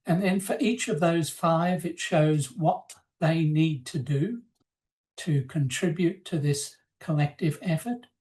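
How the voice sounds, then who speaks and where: A woman presenting in a webinar, explaining slides in a measured, formal, semi-scripted way. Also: low-pitched, mellow, rough recording.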